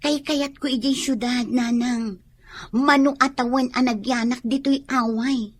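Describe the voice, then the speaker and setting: A restless young village woman in a radio drama, eager to get away. Her voice is high and somewhat rough-edged, with a Filipino accent and an insistent, hopeful delivery. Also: fairly even intonation, unhurried. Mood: defensive.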